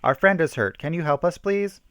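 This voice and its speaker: Man reading as young male survivor, distraught, exclaiming, raised pitch, horror storybook drama, dry sound. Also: lively intonation, moderate pace, fairly bright tone, smooth, no room sound. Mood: pleading.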